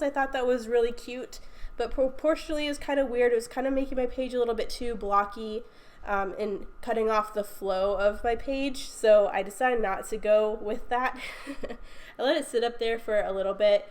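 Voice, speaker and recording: Sniffly young woman crafting voiceover. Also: mid-range voice. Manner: chatty, frequent ums and little laughs. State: congested from a cold. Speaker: scrapbooking video host. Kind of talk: casual voiceover narration. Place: recorded voiceover at home.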